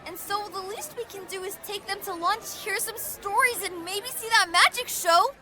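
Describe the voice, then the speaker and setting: Woman acting eager little girl, very high-pitched, excitable, audio fantasy drama, loud musical backing. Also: normal speed, over music. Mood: gentle, persuading. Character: eager, friendly girl with fire magic.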